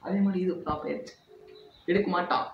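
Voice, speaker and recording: A man's voice: high-pitched, fairly even intonation, fairly quick. A man hosting a vlog, chatting casually to viewers. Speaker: vlog host. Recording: roomy, distant, dull, clearly noisy home recording.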